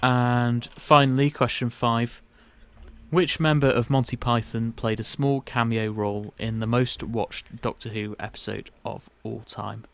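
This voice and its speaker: A man's voice: medium pitch, steady tone, a little slow, vocal fry, dry recording. A young man co-hosting a podcast, reading out quiz questions and chatting casually. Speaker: podcast co-host and quizmaster.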